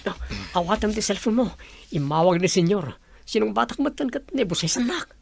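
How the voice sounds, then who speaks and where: A flustered household worker frets about his boss, as a man acts him out. His gravelly voice sits at a middle pitch and is recorded dry. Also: expressive, brisk, no echo, full character voice. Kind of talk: Ilocano audio drama acting.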